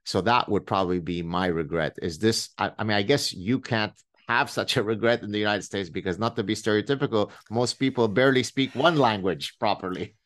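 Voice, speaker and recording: A man's voice: fairly low pitch, a little fast, hardly any pauses, a bit bright. A middle-aged man from Lebanon, a native Arabic speaker, hosting a casual interview in a reflective way, restarting his phrases and building to playful emphasis. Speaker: podcast host.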